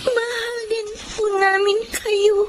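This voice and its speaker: A Filipino woman acting in a radio drama as a sweet, excited little girl. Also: extremely high pitch, takes it slowly, background noise. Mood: sweet, moved.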